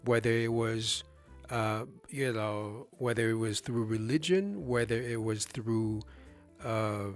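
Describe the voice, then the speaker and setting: A man's voice: lowish voice, slow pace, soft background music. A man hosting a live stream in a casual discussion, talking emphatically, putting rhetorical questions to his listener and often restarting his phrases. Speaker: livestream host.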